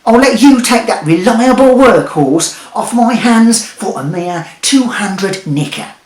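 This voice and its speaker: A woman voicing a loud, greedy male wizard who swindles his customers, shouting and swearing with cheeky patter. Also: medium pitch, lively intonation, measured pace, roomy sound, voice pushed up, lightly acted. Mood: brash, gleeful.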